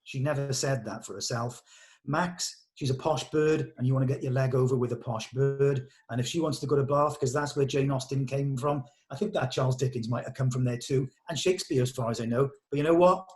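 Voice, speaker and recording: A British man with a medium-pitched voice talks like a director to his cast. His delivery is informal, earnest and emphatic, with blunt, colloquial phrasing. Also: fairly quick. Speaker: director leading a table read. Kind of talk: informal character briefing to actors.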